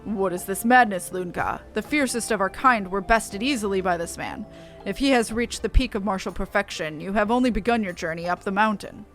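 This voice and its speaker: A woman voicing a solemn, honor-bound beastman warrior elder from a game, stern and measured, in formal archaic phrasing. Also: mid-range voice, faint music behind, pitched below the performer's own voice, close to an everyday voice. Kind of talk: reading game dialogue aloud.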